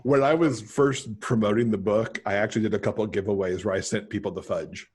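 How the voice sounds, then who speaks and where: A middle-aged man speaks in a fairly low register with a rough, husky edge. He talks casually and conversationally, as an author being interviewed over a video call. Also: steady tone, fast talker. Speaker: guest author. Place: indoor room, on a video call.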